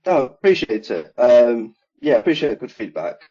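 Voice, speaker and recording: A man in his thirties with an English Midlands accent, explaining his work as an air conditioning engineer in a relaxed interview over a video call. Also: mid-range voice, moderate pace. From England. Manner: relaxed, explains in detail with many ums. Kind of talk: casual interview conversation. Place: home room, video call. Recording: dull lo-fi video call audio.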